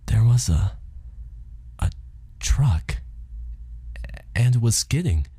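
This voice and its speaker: Audiobook role: confused, anxious dead man in middle age, played by a male narrator, pitched up, husky, hesitant and questioning. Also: animated, takes it slowly, dark tone. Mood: shocked, confused. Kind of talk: audiobook character dialogue.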